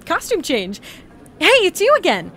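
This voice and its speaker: A woman's voice: very high-pitched, huge pitch swings, unhurried, fairly bright tone, slight background noise, full character voice. A woman playing a bewildered, politely exasperated young otherworldly newcomer in an audio drama, hesitant and dryly sarcastic. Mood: confused. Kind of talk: audio drama acting with log narration.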